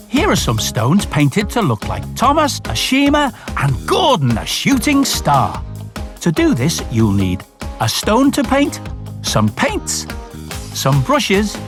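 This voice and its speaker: A man presenting children's storytime, narrating in a scripted style and speaking directly to young viewers with questions and simple instructions. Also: high-pitched.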